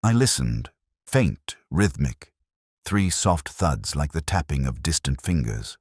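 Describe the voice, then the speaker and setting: A man narrating a scripted mystery story in a measured, dramatic manner. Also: a bass voice, big pitch swings, a little mellow, husky, no room sound, clean studio sound. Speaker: audiobook narrator.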